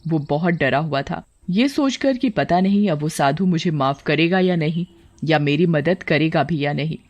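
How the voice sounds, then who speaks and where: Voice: medium pitch, normal speed, no room sound, dull audio. Dry-sounding scripted storyteller. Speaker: storyteller narrator. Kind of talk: scripted narration of a moral horror tale.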